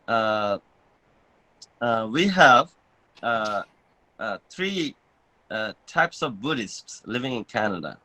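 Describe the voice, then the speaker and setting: A man's voice: on the low side, sing-song, slow, pauses often, pushed and bright, rough recording. A man who is a Buddhist monk, born in Bangladesh, talking casually with many filler sounds and chuckling at his own jokes. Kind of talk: conversational talk with fillers.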